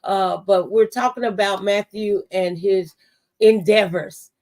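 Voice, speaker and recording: A woman's voice: mid-range voice, fairly even intonation, unhurried, a little mellow. A woman radio host talking conversationally in a preacherly, testifying way, offering faith-based encouragement. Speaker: radio talk show host.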